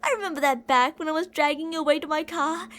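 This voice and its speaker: A woman's voice: high voice, steady tone, quick pace, close to an everyday voice. A woman playing an obsessive older female kidnapper in an ASMR roleplay, cooing and possessive, doting yet menacing, with theatrical delivery. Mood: menacing, teasing. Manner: cooing, possessive, theatrical, with pet names and whispered phrases.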